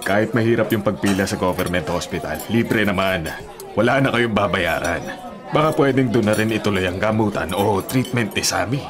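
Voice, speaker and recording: A man's voice: lowish voice, normal speed, close to an everyday voice. An actor playing a practical, kindly male delivery co-worker in a Filipino radio drama, plain-spoken and advising, with a Filipino accent. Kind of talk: naturalistic radio drama acting. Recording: music bed behind the voice.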